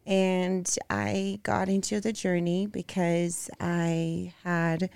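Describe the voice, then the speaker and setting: A woman from Brazil with a Brazilian accent, a guest in a casual interview, talking in an earnest, unhurried way that turns spirited. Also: on the low side, little pitch movement, creaky, a little mellow, clean tone, no room sound. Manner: earnest and unhurried, turning spirited about soccer. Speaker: guest, pro figure competitor.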